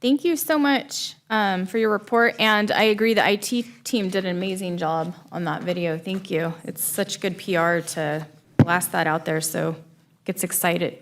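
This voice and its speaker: A woman chairing a board meeting, brisk and effusive in her thanks. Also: lowish voice.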